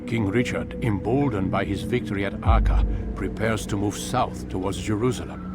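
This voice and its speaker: A man's voice: on the low side, over loud music, lightly acted. A man voicing a stern old master of an order. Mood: commanding. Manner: measured, commanding, deliberate. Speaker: mentor. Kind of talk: video game voice acting.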